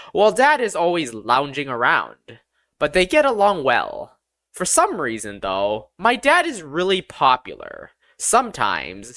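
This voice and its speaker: An audiobook narrator reading a scripted first-person story in an expressive, deliberate storytelling manner. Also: medium pitch, no echo.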